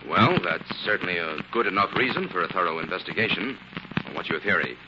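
A keen American man of science and crime fighting in an old radio drama. He talks quickly in a low, animated voice on a dull, heavily noisy recording. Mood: brisk, inquisitive. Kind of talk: 1940s radio acting.

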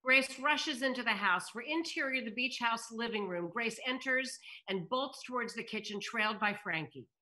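A woman's voice: on the high side, normal speed, few pauses, pushed and bright, poor audio. A woman on a video call announcing and reading scripted stage directions as narration. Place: indoors at home on a video call. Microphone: video call microphone.

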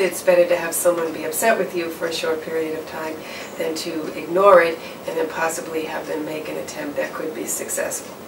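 A middle-aged woman explains things in a measured, low and fairly flat voice, pausing on fillers and restarting phrases. The mic sits at a distance, so the sound is roomy, with steady background noise. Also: gravelly. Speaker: campus counseling professional. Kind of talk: interview answers. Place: office or studio in front of a backdrop.

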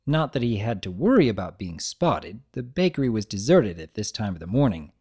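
Man narrating, lively pitch movement. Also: fairly quick, slightly rough. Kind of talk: dramatic story reading.

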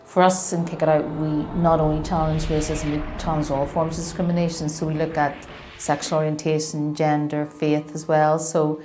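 Middle-aged female executive, low voice, earnest explanatory interview, soft music bed. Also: little pitch movement, normal speed. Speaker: chief executive of an anti-discrimination charity. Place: indoors in front of a logo backdrop.

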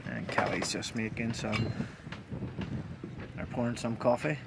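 A man's voice: fairly low pitch, a little slow, creaky. A man, chatting casually to a handheld camera while sailing a small boat. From Northern Ireland. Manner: relaxed, informal, chatty, with easy fillers. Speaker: sailing vlogger. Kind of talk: casual on-board narration. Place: outdoors on a small sailboat and in its cabin. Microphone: action camera held close. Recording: windy outdoor camera audio. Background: wind and water noise.

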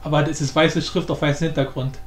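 A man's voice: a little high, flat delivery, fast talker. Man muttering in a roomy, echoey recording. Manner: muttering to himself, slangy. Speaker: tutorial host and hobby game programmer. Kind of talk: casual screen-recorded coding tutorial, thinking aloud. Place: indoor room, voice over screen capture. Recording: roomy echo, faint background noise.

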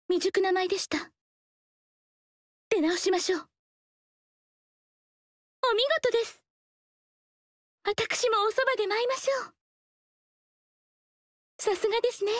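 A woman voicing a graceful, humble noble dancer in a video game, speaking softly and politely in elegant formal speech. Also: extremely high pitch, no echo, studio quality, heavily acted. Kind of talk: game character voice lines.

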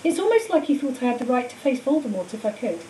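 Storybook part: woman as gentle determined boy, earnest, higher-pitched and soft-toned, slightly hissy recording. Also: big pitch swings, quick pace. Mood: thoughtful. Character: earnest, thoughtful schoolboy, gentle but determined. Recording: hissy, roomy, distant microphone.

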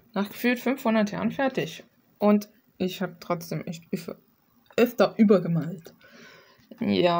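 A young woman with a mid-range voice talks casually, as an illustrator would in a homemade art vlog. Her delivery is rambling and slangy, with self-deprecating asides and some mild swearing. She is recorded at a desk in a small room at home. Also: medium pitch. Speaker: illustrator presenting own artwork.

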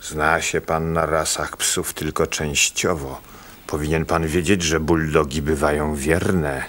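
Very deep, husky sly henchman. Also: flat delivery, a little slow, fairly bright tone, exaggerated voice. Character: sly, insolent hired gunman serving his boss. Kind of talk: radio play acting.

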